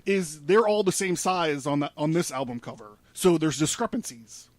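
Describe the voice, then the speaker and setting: A man's voice: a little high, lively intonation, moderate pace, dry recording. Man, casual studio presenter, rambling, informal, swears freely, very dry close sound. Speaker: presenter laying out a conspiracy theory.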